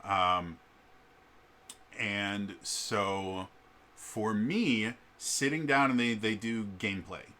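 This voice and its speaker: A man talking in a casual ranting vlog, often stammering on the first sound of words and filling pauses with ums and uhs. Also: on the low side, a little hiss or hum. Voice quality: loud voice. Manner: repeats word starts, stammering, many fillers. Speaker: vlogger.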